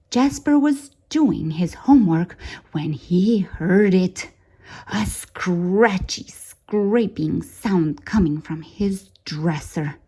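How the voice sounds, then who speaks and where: A woman reading a children's story aloud in an expressive, dramatic way, drawing out key words for suspense. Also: mid-range voice.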